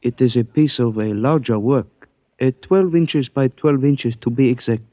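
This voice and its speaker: An actor playing a suave male antique dealer who speaks in stilted, formal phrases, measured and evasive, in 1940s radio style. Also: mid-range voice, no room sound, natural voice. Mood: cryptic, courteous. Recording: dull dry old radio recording.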